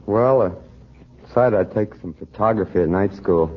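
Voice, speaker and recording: An American man answering casually as a quiz show contestant, halting, sheepish and low-key. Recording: dull, noisy old radio recording.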